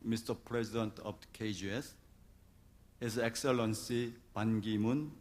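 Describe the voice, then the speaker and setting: A man delivering a formal opening address as a conference organizer, speaking deliberately phrase by phrase. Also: lowish voice, fairly even intonation. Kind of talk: formal ceremonial speech.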